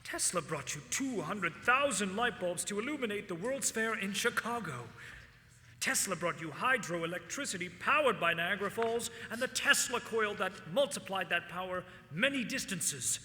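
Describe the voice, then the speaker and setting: An actor playing a proud, fervent visionary inventor, declaiming grandly and formally in a stage musical. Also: male voice, high voice, lively intonation, normal speed, slight background noise, exaggerated voice. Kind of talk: theatrical stage oration. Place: stage of a reverberant theatre. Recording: live theatre hall reverb, loud music.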